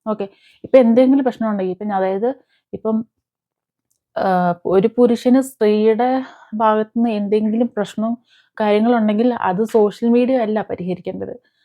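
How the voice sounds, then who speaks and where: A woman talking casually to viewers as an online commentator, halting and often searching for words. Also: mid-range voice, fairly even intonation, warm tone. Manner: halting, searching for words, with frequent hesitant pauses. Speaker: YouTube commentator. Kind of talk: casual reaction vlog talk to viewers. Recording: dull, lo-fi sound.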